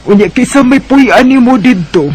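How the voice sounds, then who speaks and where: A man's voice: very high voice, lively intonation, a little mellow, breathy edge, heavily acted. A man with a Bisaya Filipino accent voicing a worried, cautioning village mother in a radio drama, drawing out the words. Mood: worried, reluctant. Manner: slow, drawn-out. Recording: faint background noise.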